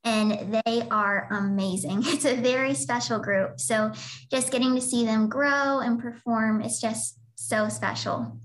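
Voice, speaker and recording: A woman's voice: on the high side, fairly even intonation, measured pace, low-quality recording. A young woman guest speaking bubbly and giggly about her dancing. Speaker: dance director.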